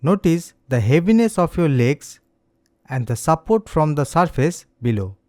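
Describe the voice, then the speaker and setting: A man leading a slow guided meditation as an instructor, speaking in a gentle, soothing, scripted manner. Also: on the high side, sing-song, no room sound, studio quality.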